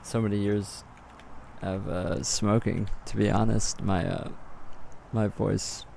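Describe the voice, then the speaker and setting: A man in his twenties with a gravelly smoker voice, chatting casually on a live coding stream and answering viewers between compiles. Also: fairly low pitch, flat delivery, slow, a little mellow, slight background noise. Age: 20s. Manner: muttering, distracted, answers chat questions. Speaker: game engine developer streaming.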